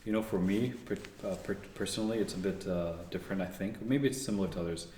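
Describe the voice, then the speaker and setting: A young man from Canada, a guest engineer, talking in a relaxed, rambling way with many fillers and restarts. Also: low voice, fast talker, a little hiss or hum. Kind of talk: casual interview conversation. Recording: echoey room.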